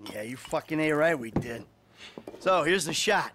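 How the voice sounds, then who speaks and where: An actor playing a weary, cagey middle-aged career criminal in a game, wry and profane, with an American accent. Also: male voice, on the high side, expressive, moderate pace, background noise, lightly acted. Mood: upbeat. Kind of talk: video game cutscene acting.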